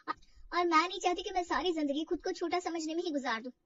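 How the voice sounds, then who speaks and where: A woman's voice: very high voice, fast, fairly bright tone, far from the mic, lo-fi sound, a little hiss or hum, pitched above the performer's own voice. A woman voicing an insecure young woman who is fretful and defensive in a dramatized story. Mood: defiant. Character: insecure, self-doubting young woman from Old Delhi.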